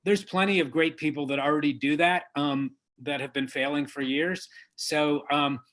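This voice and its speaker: Earnest, measured man with a higher voice. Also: fairly even intonation, fairly bright tone. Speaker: author, lawyer and diversity trainer. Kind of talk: interview guest, conversational.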